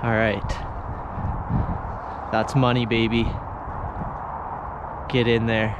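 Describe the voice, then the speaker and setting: A man's voice: level tone, takes it slowly, muffled recording. Young man vlogging in the wind. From Canada. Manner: chatty, coaxing the fish, explaining the rig step by step. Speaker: fishing vlogger. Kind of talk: casual outdoor vlog narration. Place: on a river outdoors in wind, fishing from a small float boat. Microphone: action camera mounted on the boat. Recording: action camera audio with wind and nearby highway noise.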